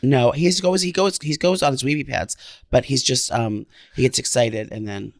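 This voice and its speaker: A man's voice: medium pitch, normal speed, creaky, no room sound. A man co-hosting a podcast in casual chat, stuffy with a cold and camp and playful. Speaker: podcast co-host.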